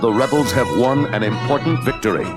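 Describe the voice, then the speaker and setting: A man's voice: medium pitch, brisk, lightly acted. A man narrating a space saga in a solemn, scripted epic style. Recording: loud orchestral music behind.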